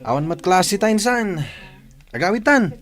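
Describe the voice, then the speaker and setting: Man acting cocky high-voiced young Ilocano guy. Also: lively intonation, moderate pace. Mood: concerned. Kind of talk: radio comedy-drama acting.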